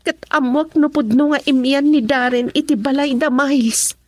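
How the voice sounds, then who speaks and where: A woman's voice: sits high, fairly even intonation, normal speed, soft background music, close to an everyday voice. An actress playing a determined, anxious young woman in a radio drama, with a Filipino accent. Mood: anxious, insistent. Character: determined, anxious young woman doubting her boyfriend's faithfulness. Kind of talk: Ilocano radio drama acting.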